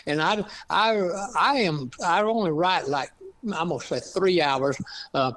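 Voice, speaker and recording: An older man in his eighties with an East Texas accent, chatting as a guest author, halting with many fillers and restarts. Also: a little high, fairly bright tone. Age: 80s. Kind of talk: casual interview chat.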